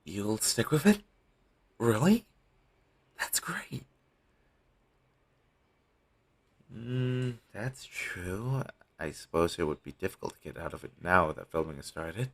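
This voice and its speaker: A devoted male talent agent, played by a man in an audio roleplay, speaks in a low, gravelly voice. He is earnest and apologetic, reassuring his client through hesitant, husky lines. It is a low-quality home recording. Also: very animated, a little slow, heavily acted. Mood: relieved, reassuring. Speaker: amateur voice actor in a scripted audio roleplay. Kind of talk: scripted audio roleplay, intimate one-sided dialogue.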